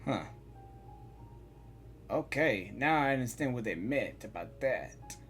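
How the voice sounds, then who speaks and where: A woman with a low voice reacts casually to a game's story. Her informal remarks jump around in pitch, with faint game music behind. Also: expressive, slow. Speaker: reaction video creator. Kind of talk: casual gameplay reaction commentary.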